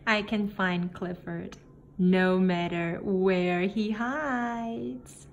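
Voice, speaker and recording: Female story reader for young kids, very unhurried and deliberate, recorded indoors with slight background noise. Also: medium pitch, steady tone, dull audio. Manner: deliberate, simple phrasing with pauses between phrases. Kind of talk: picture book read-aloud for young children. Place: indoor room, book filmed on a table. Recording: faint room noise behind the voice.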